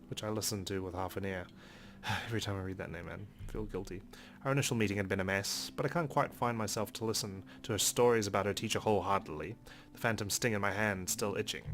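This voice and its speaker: A man's voice: fairly low pitch, a bit bright. A man hosting a let's play, reading visual novel narration aloud expressively with wry asides, over faint game music.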